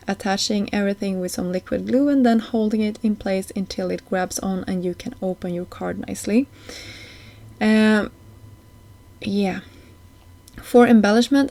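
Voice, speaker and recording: A woman's voice: medium pitch, a little mellow. A woman giving a casual voice-over craft tutorial as host, with slightly hesitant phrasing and small non-native grammar slips.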